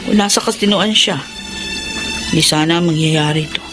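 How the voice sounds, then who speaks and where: A woman's voice: sits low, normal speed, music in the background, natural voice. A woman playing a bitter, hurt adult daughter in an audio drama, speaking with firm resentment. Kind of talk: dramatic Tagalog audio story acting.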